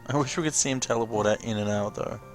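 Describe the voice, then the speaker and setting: A man with a medium-pitched voice hosts a watch-along. He talks casually, reacting and commenting as he goes. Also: fast talker, faint music behind. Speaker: host of a let's watch video.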